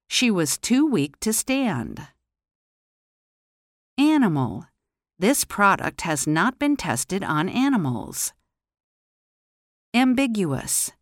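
A woman teaching pronunciation, carefully enunciating single words and example sentences in a slow, deliberate drill. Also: medium pitch, dry recording, studio quality.

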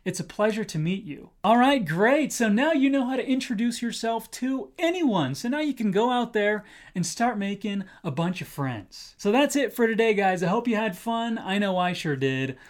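A man's voice: high-pitched, expressive. Upbeat young American man teaching English. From United States. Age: 20s. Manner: enunciates example phrases slowly and deliberately. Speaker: English teacher presenting a lesson. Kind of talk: upbeat scripted language lesson to camera.

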